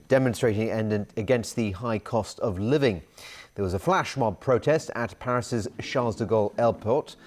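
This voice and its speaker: A man's voice: medium pitch, a little mellow. A man narrating a scripted news report.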